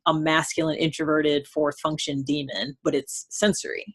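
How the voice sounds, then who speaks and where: A woman hosting a casual interview chat, talking in a thoughtful, halting way that tends to trail off. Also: sits low, level tone, breathy edge. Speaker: host.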